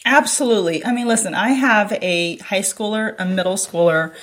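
A middle-aged woman with an American accent speaking as an interview guest, telling personal anecdotes in an animated, emphatic, conversational way. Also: medium pitch, moderate pace. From United States. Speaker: interview guest, children's book author.

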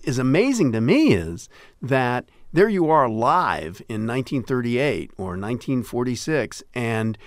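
A man with a mid-pitched voice talks as an expert guest. He is enthusiastic and explanatory, conversational, and recorded very dry. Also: sing-song, unhurried, dry recording. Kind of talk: conversational interview about old radio. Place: dry studio.

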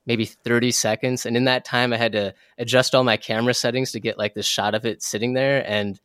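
A man's voice: mid-range voice, flat delivery, a little fast, fairly bright tone, smooth, no echo, studio quality. A young American man chatting casually as an interview guest, with an informal, slangy, effusive way of talking. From southern Minnesota, United States. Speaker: interview guest, wildlife photographer.

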